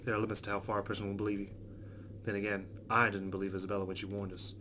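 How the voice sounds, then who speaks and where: A man's voice: fairly low pitch, level tone, very fast, bright, forceful tone, a little hiss or hum. A man hosting a let's play, reading visual novel narration aloud.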